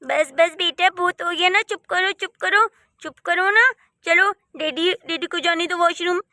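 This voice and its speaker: A woman voicing a strict young mother who scolds in a sharp, impatient way. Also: very high-pitched, steady tone, moderate pace, dry recording, studio quality. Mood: irritated.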